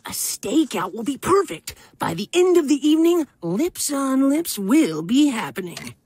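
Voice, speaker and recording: A woman's voice: sits high, very animated, a little slow, a little mellow, slight background noise. A woman voicing a bossy, lovesick teenage boy superhero in a cartoon, dramatic and emphatic. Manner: dramatic, emphatic, self-important. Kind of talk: animated cartoon voice acting.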